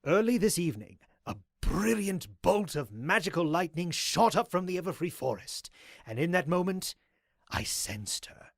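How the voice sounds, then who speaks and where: Theatrical, higher-voiced zealous cult leader played by a man. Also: very animated, moderate pace, gravelly, dry recording, clean studio sound, full character voice. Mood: reverent, exultant. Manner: theatrical, grandiose, formal. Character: zealous, courteous male pony cult leader. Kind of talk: dramatic audio drama acting.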